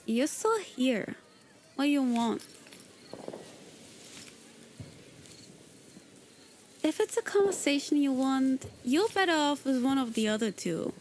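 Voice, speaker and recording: A woman plays a cool, condescending non-human lady who looks down on humans. She draws out her words in an unhurried, languid drawl, and her voice sits high and light. It is a scripted roleplay spoken straight to the listener. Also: darkish tone, a little hiss or hum. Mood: bored. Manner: slow, languid, disdainful drawl. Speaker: virtual streamer voicing her persona.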